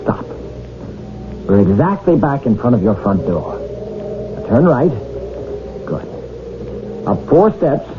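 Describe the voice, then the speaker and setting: A man's voice: mid-range voice, very animated, takes it slowly, pauses often, noisy background. An actor playing a firm, encouraging male friend in a radio drama, giving short, instructive commands. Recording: tinny, band-limited old radio drama broadcast.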